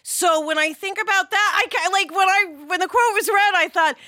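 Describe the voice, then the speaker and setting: A middle-aged woman co-hosting a podcast in an emphatic, expressive conversational style. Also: extremely high pitch, moderate pace, no room sound, clean studio sound. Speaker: podcast co-host. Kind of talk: animated conversational discussion.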